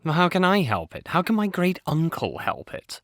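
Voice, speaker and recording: A man's voice: high-pitched, huge pitch swings, normal speed, a bit bright, no room sound, studio quality, exaggerated voice. An actor playing a naive young provincial nobleman in an audio play, prissy, anxious and haughty. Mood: indignant.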